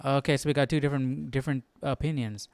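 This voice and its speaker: A man hosting a Bible study livestream, casually thinking aloud and reading articles out loud in an inquisitive, informal way. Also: mid-range voice, fairly even intonation, fast, no room sound. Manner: inquisitive, informal, talks to himself.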